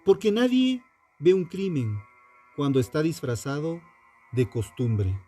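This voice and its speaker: A narrator reading a scripted true-crime account in a measured, dramatic way, in short punchy phrases. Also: medium pitch, big pitch swings, warm tone, faint music behind.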